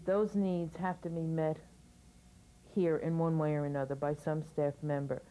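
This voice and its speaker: A woman's voice: sits low, flat delivery, moderate pace, warm tone, low-quality recording. A woman staff member speaking steadily and matter-of-factly in an interview.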